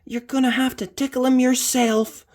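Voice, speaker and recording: A woman's voice: high voice, flat delivery, normal speed, a little mellow, voice pushed up. A woman voicing a bossy young daycare worker who speaks urgently in a storybook.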